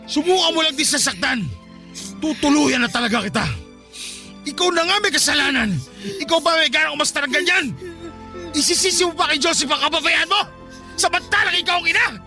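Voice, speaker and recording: A man's voice: very high-pitched, expressive, brisk, heavily acted. A man playing a grieving, furious father in a Tagalog radio drama, with anguished, accusatory delivery. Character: grieving, furious father blaming his wife. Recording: loud dramatic music behind. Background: dramatic music.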